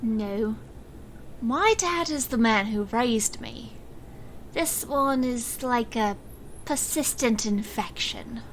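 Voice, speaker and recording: A resolute, guarded woman magician, played by an actress in an audio drama. Her voice sits a little high and she speaks in a grave, measured way. Faint noise runs under the recording. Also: lively intonation, rough recording. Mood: reluctant, confessional. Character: resolute, guarded woman stage magician facing her murderous birth father.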